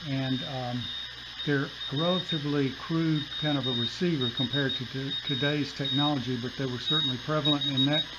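A man in his seventies or older giving a casual, unscripted hands-on demonstration in a halting, plain-spoken way. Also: medium pitch, fairly even intonation. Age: 70s or older. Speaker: hobbyist demonstrating a vintage radio. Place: basement room with a desk. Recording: dull home recording, loud radio hiss.

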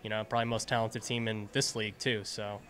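A man's voice: fairly low pitch, flat delivery, fast, a bit bright, soft background music. A young man, a baseball infielder, answering post-game questions in an earnest, modest way into a handheld mic. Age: young adult. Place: indoors in front of a sponsor backdrop. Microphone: handheld interviewer mic.

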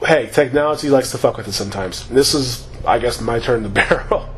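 A man chatting casually and with plenty of swearing as he plays, wandering from point to point. His voice is a touch hoarse from talking too long, sitting at an everyday middle pitch. A faint hiss runs under the home recording. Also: a little fast, a bit bright. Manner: loose, profane, rambling. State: slightly hoarse. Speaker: gaming YouTuber. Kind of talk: casual gameplay commentary.

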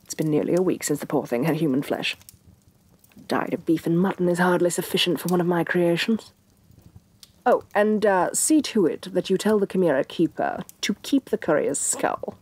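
A woman playing a haughty, domineering evil sorceress queen, soft-spoken and imperious in a fantasy ASMR roleplay. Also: lowish voice, normal speed, breathy edge. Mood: exasperated, commanding. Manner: imperious, condescending, theatrically disdainful.

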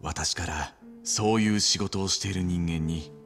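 A man playing a calculating middle-aged surgeon in a video game drama, speaking in a measured, formal, subdued way. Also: low voice, a bit bright, soft background music.

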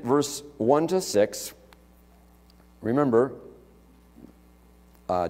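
A man's voice: mid-range voice, a little mellow. A middle-aged man preaching a sermon in a church, talking conversationally and checking in with listeners with "okay?" and "right?". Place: church sanctuary, large indoor hall. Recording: long reverb of a church hall.

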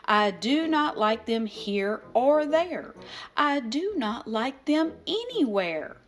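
A woman voicing a stubborn, exasperated storybook grouch with emphatic, drawn-out protests. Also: a little high, big pitch swings. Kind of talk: expressive children's picture book read-aloud.

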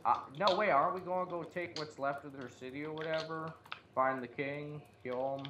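A man's voice: on the high side, steady tone, normal speed. A man playing a video game, chatting casually in slang and swearing, blurting out reactions to what he finds. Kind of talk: casual co-op gameplay chat. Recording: muffled lo-fi mic, background noise.

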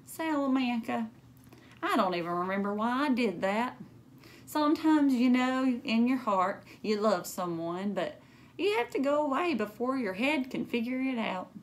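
A woman voicing a kindly old grandmother in a children's storybook, in a gentle, confiding manner. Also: on the high side, animated, measured pace, a little hiss or hum, pitched above the performer's own voice.

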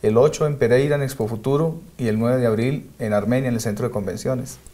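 Young man, from Colombia, quick matter-of-fact, TV guest. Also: mid-range voice, dark tone. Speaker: singer guest. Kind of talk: listing tour dates. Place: TV studio.

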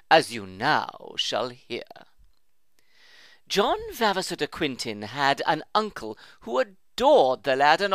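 A man's voice: mid-range voice, no echo. Theatrical man reading comic verse. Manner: expressive, theatrical storytelling. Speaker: reader.

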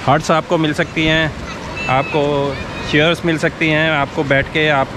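A man's voice: on the high side, little pitch movement, a little slow. Chatty man vlogging outdoors on a phone. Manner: chatty, guiding viewers around. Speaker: travel vlogger. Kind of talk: casual travel vlog narration. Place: outdoors on beaches and hilltops, also a restaurant. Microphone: phone held at arm's length. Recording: noisy outdoor phone recording.

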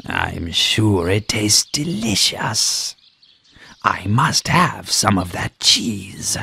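A man voicing a sly, flattering fox in a children's fable, with a low, gravelly, husky voice and drawn-out delivery. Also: full character voice. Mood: greedy, scheming. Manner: slow, drawn-out, smooth-talking flattery. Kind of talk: children's storybook character acting.

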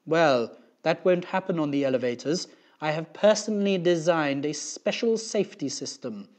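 A man voicing a loud, officious school principal making emphatic announcements in a children's storybook. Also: fairly high pitch, lively intonation, moderate pace, voice pushed up, natural voice. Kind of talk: children's storybook character reading.

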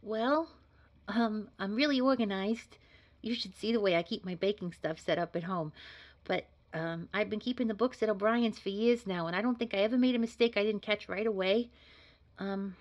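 A woman's voice: medium pitch, fairly quick, highs cut off, natural voice. A woman playing an earnest, modest job candidate in an audio drama, talking in a chatty, hesitant, polite way. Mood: eager, modest. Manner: hesitant, rambling, informal, polite. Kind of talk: naturalistic scripted audio drama dialogue.

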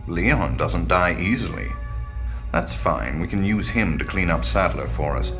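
Cold game mastermind. Deep, controlled, clipped orders. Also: male voice, lively intonation, unhurried, over music. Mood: cold, commanding. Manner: terse, commanding, controlled. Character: cold, calculating male mastermind giving orders. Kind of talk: video game cutscene acting.

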